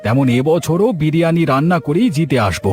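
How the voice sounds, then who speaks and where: A man's voice: on the high side, a little fast, a little mellow, faint music behind, natural voice. A man voicing a proud, stubborn village biryani cook in a cartoon, with boastful, scornful, emphatic delivery. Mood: proud, assured. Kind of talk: cartoon voice acting in a village comedy.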